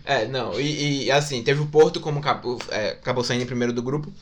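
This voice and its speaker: A man's voice: medium pitch, fast talker, slight background noise. A man with a Brazilian accent giving casual football commentary, often repeating and correcting himself. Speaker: football podcast panelist.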